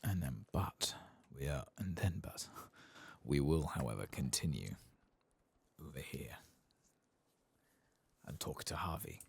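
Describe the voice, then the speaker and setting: A man giving soft-spoken ASMR game commentary in a gentle, breathy murmur that often drops toward a whisper, close to the microphone. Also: very deep voice, expressive, slow, dry recording. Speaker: game streamer.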